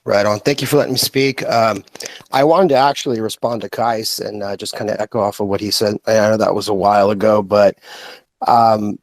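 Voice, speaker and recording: A man with an American accent giving earnest opinions in a casual, halting way in an online group chat. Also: lowish voice, fairly even intonation, no room sound. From born in Russia, raised in the United States from age five. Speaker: guest speaker in online group audio chat.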